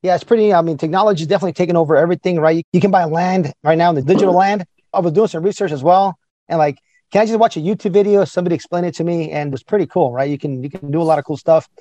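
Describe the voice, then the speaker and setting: Fast, high-voiced enthusiastic man in his forties. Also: mellow, no room sound, dull audio. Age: 40s. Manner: enthusiastic, chatty, quick-talking. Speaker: podcast host. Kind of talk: casual interview chat.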